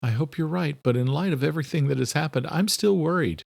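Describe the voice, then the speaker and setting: An earnest, anxious woman doctor as a male narrator plays her in a thriller audiobook. The voice is raised high and polite, recorded dry and close. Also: fast talker, vocal fry, a little mellow, no room sound. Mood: worried.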